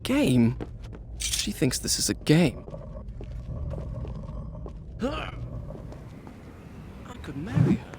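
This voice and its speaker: An actor playing a proud, headstrong young prince in a video game, speaking in a formal, literary and self-absorbed way. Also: male voice, on the high side, huge pitch swings, drawn-out words, long pauses, background noise, full character voice. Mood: flustered, haughty. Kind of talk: dramatic video game acting, storybook-like narration. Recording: loud music behind the voice. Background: game soundtrack music.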